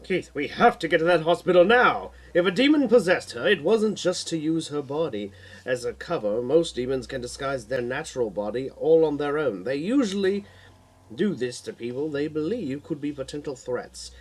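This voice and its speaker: A man's voice: fairly high pitch, lively intonation, normal speed, low-quality recording. A man voicing an earnest, knowledgeable male guardian hero in an audiobook, explanatory with an urgent edge. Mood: urgent warning.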